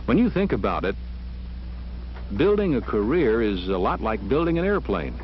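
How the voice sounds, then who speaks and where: A man's voice: on the low side, big pitch swings, normal speed, slight background noise. A man reading scripted narration for an educational film. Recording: muffled old film soundtrack with music. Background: music.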